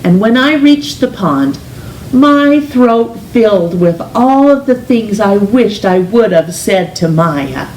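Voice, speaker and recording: A woman reading a children's picture book aloud in an expressive, gentle, deliberate storytelling manner, with drawn-out emphasis on words. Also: mid-range voice. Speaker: storytime reader, teacher. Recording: roomy, distant mic with faint hiss.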